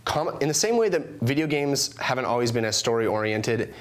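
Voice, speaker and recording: Measured man, mid-pitched voice. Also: expressive. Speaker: co-host. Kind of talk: casual debate on a podcast.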